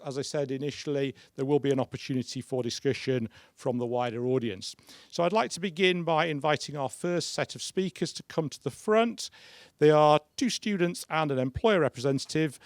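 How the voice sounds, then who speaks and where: A man with a somewhat high voice chairs a conference session. He introduces the speakers in a measured, formal manner. The sound is dry and close. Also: no echo.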